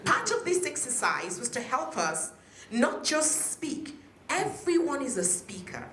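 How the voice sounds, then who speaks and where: A woman's voice: high-pitched, very animated, unhurried, a crowd in the background. A woman from Nigeria giving an emphatic motivational talk to an audience at a peace event, drawing them in with questions. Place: hotel conference room. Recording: echoey conference room.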